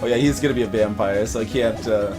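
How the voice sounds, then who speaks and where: A man's voice: fairly low pitch, quick pace. A man hosting a let's play, giving casual, off-the-cuff commentary on a video game. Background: faint game music.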